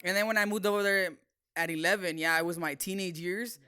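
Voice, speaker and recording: Bright, clear-voiced man in casual chat. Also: high voice, fairly even intonation, fairly quick, no room sound. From California, United States. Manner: switches between English and some Spanish. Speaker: talk show co-host.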